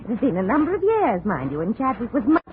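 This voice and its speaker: Snobbish, self-righteous society matron, dramatic and very animated, vintage radio drama with thin, noisy sound. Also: female voice, fairly high pitch, fast. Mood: gossipy, indignant. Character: gossipy, snobbish married society lady. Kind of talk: 1950 radio drama acting. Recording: noisy, narrow old radio recording.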